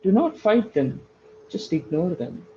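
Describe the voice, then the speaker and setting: A man leading a guided meditation, giving slow, soothing instructions and repeating short phrases for emphasis. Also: high-pitched, sing-song, muffled recording, a little hiss or hum. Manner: repeats phrases for emphasis, addresses listeners as friends.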